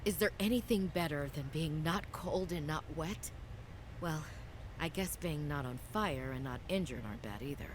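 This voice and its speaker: A woman's voice: deep voice, lively intonation, moderate pace, slight background noise. A woman acting a wry, determined scientist explorer in a video game, in a conversational inner monologue. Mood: wry relief.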